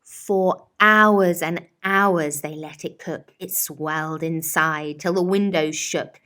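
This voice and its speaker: A woman's voice: low-pitched, fairly even intonation, sharp tone. A woman reading a children's picture book expressively, in a dramatic, rhythmic way that draws out words. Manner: dramatic, rhythmic rhyming delivery with drawn-out words. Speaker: storyteller.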